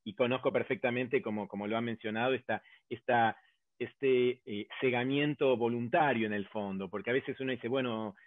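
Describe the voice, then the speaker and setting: A man's voice: medium pitch, normal speed, no echo. A man from Argentina with an Argentine accent, an academic on a panel, arguing at length with many hesitant fillers. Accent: Argentine Spanish. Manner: hesitant, many fillers, builds arguments step by step. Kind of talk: round-table discussion. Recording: dry, tinny phone-like line.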